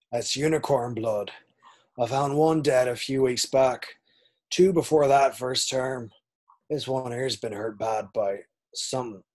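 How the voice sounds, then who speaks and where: A man playing a gruff, kindly, soft-hearted gamekeeper in an amateur screenplay table read. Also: medium pitch, close to an everyday voice.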